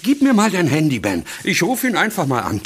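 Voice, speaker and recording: An actor playing a cheery, relaxed middle-aged organic-farmer dad in a German audio drama. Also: male voice, fairly high pitch, a little fast, slight background noise. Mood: helpful.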